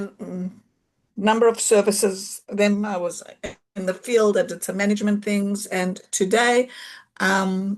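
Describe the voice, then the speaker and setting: South African woman, 50s, informal therapist presenting on a video call; chatty, loose, often restarts. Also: mid-range voice. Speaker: occupational therapist giving a talk.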